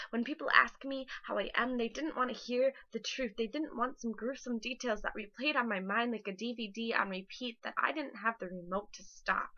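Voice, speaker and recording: A woman's voice: a little high, bright, forceful tone. A woman in her twenties performing slam poetry in an intense, emotive way with dramatic phrasing, on a dull lo-fi recording. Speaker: slam poet. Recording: dull lo-fi microphone sound.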